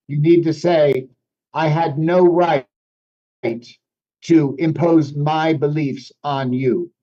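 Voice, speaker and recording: A man's voice: fairly high pitch, mellow. A man whose first language is French, hosting a reflective conversation and explaining ideas thoughtfully, with restarts as he searches for words. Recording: dull lo-fi sound.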